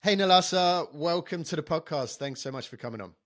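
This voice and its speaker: A man's voice: a little high, animated, a little fast, no echo. Chatty man, dry close sound. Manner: chatty and enthusiastic, many restarts. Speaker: podcast host. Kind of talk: casual podcast conversation.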